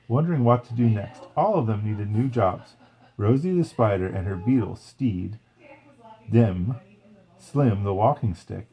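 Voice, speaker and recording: A man's voice: on the low side, warm tone, low-quality recording. A man reading a children's story aloud expressively, with casual, chatty asides. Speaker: storybook reader. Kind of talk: read-along story narration with casual asides.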